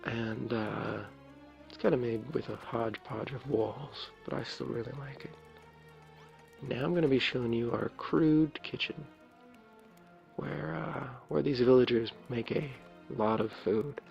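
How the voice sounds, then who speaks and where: A man with a low, crackly, raspy voice records ASMR game commentary. He is soft-spoken and meanders hesitantly as he shows things off. The sound is muffled and lo-fi, with game music clearly playing behind him. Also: a little slow. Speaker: video creator showing his game builds. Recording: dull lo-fi mic, clear background music.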